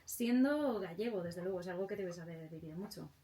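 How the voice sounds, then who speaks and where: A woman's voice: fairly low pitch, expressive, fairly quick, mellow. A woman with an accent from Spain, hosting an interview and asking questions in a conversational way. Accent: Spanish from Spain. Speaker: interview host.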